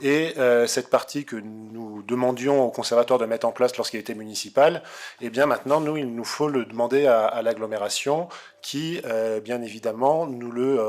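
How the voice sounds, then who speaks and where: A man giving a formal, semi-improvised council report in a halting, hesitant way. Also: medium pitch, darkish tone. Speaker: city councillor.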